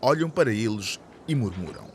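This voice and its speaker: A man's voice: fairly low pitch, lively intonation, moderate pace, slight background noise. A man narrating a scripted radio drama as its storyteller.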